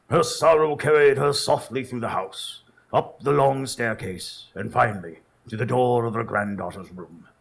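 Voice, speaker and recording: An actor playing an earnest Victorian doctor who narrates dramatically in a radio drama, with a gravelly, husky voice. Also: male voice, medium pitch, exaggerated voice.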